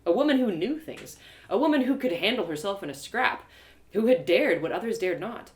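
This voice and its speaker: A woman performing an animated, expressive first-person fantasy story as a dramatic narrator. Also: medium pitch, fairly quick. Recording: roomy home live reading.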